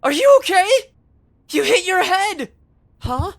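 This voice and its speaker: A woman's voice: very high-pitched, slow pace, exaggerated voice. A woman playing an earnest, easily flustered young rookie detective in an anime dub, blurting out thoughts. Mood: shocked. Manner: excitable, thinks out loud, blurts things.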